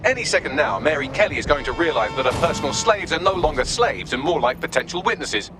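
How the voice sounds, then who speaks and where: An actor playing a witty, smug AI assistant in a video game, glib and dryly sarcastic. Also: male voice, on the high side, animated, quick pace, over music, lightly acted. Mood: brisk, wry.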